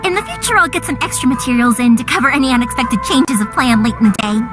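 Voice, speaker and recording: An actress playing a cheerful, energetic young female fireworks maker who talks in a bubbly, chatty, eager way. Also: high-pitched, quick pace, over music, lightly acted.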